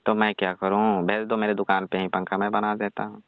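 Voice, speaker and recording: A man's voice: on the low side, level tone, brisk, clear, resonant tone, no room sound, close to an everyday voice. A man playing a reluctant, exasperated young village electrician, grumbling his refusals in a comic phone skit. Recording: tinny, dry phone-call recording.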